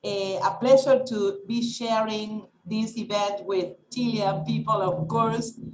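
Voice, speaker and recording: A woman who is a native Spanish speaker, hosting a webinar with a careful, somewhat halting delivery. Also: medium pitch, steady tone, noisy background. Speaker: webinar host. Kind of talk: welcoming and introducing a speaker, partly read. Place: indoors on a video call. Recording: echoey video-call audio.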